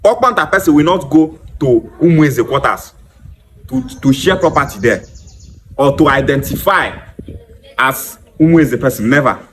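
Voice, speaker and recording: A man's voice: medium pitch, expressive, moderate pace, slight background noise. A man with a Nigerian Igbo accent, a native Igbo speaker, speaking emphatically and insistently in a repetitive, impassioned monologue to an audience. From Nigeria, Igboland. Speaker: online commentator. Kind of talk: impassioned monologue to an audience, switching between English, Igbo and Pidgin.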